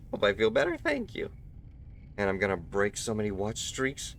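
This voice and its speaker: A man hosting a live game stream while sick, a little raspy, talking casually and courteously with his viewers and chuckling often. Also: lowish voice, wildly swooping pitch, a little slow, slight background noise. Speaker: livestream host. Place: at home.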